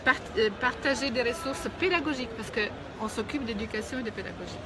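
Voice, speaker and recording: A middle-aged woman speaks with an Italian accent, her voice sitting a little high. She talks haltingly, with many pauses and fillers. It is recorded outdoors on a city street, with clear traffic noise behind her. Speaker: guest, founder of an education movement. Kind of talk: casual live street interview.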